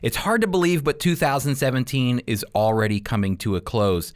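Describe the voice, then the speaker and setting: A man's voice: medium pitch, brisk. A man hosting a radio show and teaching the Bible, emphatic and repeating key points for stress.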